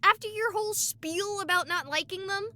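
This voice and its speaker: A woman's voice: extremely high pitch, moderate pace, pushed and bright, slight background noise, heavily acted. A woman voicing a sarcastic, exasperated young female streamer in a horror video game, wisecracking and dramatic. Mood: wry, fed up.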